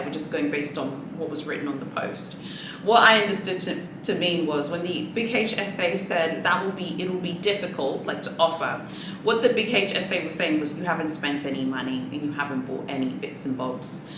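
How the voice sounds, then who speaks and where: Vlogger, female, native Swahili speaker; low voice, sassy rambling chat, echoey room with audible noise. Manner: sassy, chatty, rambling with many asides. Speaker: luxury handbag vlogger. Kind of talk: casual chatty monologue.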